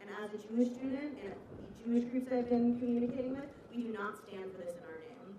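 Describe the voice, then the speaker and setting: A woman's voice: fairly high pitch, quick pace, dark tone, lo-fi sound, a little hiss or hum. A young woman giving a rousing statement at an outdoor student press conference, built on repeated phrases. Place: outdoors on a campus. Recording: distant, echoey, with a crowd around.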